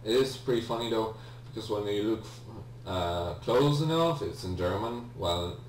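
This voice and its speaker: Guy doing a lets play, deep voice, kinda rambly and hesitant, mic sounds far off w some hum. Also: animated, moderate pace. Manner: hesitant, rambling, self-correcting. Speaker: let's player, video game host. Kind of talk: casual gameplay commentary. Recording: roomy, distant mic with faint hum.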